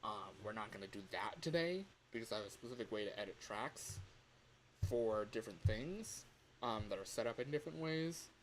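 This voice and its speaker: A man's voice: lowish voice, animated, moderate pace, slight background noise, poor audio. A man hosting a casual livestream tutorial, talking in a chatty, rambling, informal way into a microphone. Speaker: livestream host. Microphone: microphone on a home streaming setup.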